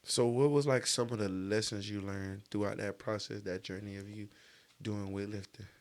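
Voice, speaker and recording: A man's voice: lowish voice, fairly even intonation, normal speed. A man hosting a podcast in a casual, slangy interview style, with a joking, teasing manner. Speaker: podcast host.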